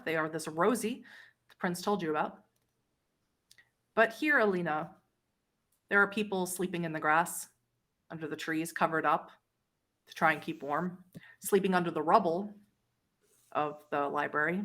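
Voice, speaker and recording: A woman running a tabletop horror roleplay as game master, narrating scenes in a descriptive, pausing storyteller way. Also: fairly low pitch, a bit bright.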